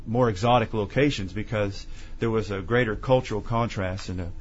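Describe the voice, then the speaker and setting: A man with a fairly low voice speaks in a measured, earnest way as an author answering questions in an interview. The sound is dull and lo-fi, like an older broadcast recording. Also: breathy edge. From Tennessee. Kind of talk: conversational interview.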